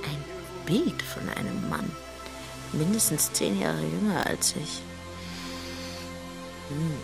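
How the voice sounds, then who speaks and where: An actress playing a tipsy, lovelorn middle-aged wife in a German radio play, speaking in a dreamy, drawn-out, often whispering way. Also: female voice, low-pitched, animated, gravelly, over music, exaggerated voice. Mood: dreamy, yearning.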